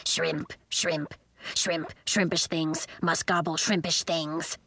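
A woman's voice: low-pitched, level tone, takes it slowly, pushed and bright, dry recording, studio quality, full character voice. A woman voicing an eager, slightly shy fifth-grade girl in a storybook, musing in a childlike way. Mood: greedy glee.

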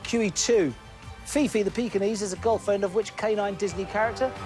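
A man hosting a TV quiz show, brisk and jokey, reading questions crisply. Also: high voice, steady tone, darkish tone, soft background music.

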